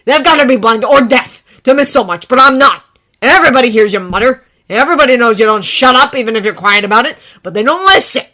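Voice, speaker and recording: A woman voicing a furious teenage boy with an explosive temper, shouting and swearing in a fan fiction reading. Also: medium pitch, expressive, a little fast, pitched above the performer's own voice.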